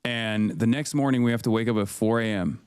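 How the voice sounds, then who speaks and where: Rambling man, smooth dry voice. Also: medium pitch, brisk, darkish tone, dry recording. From Turkey. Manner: rambling, many fillers and restarts. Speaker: political commentator, guest. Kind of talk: casual podcast conversation.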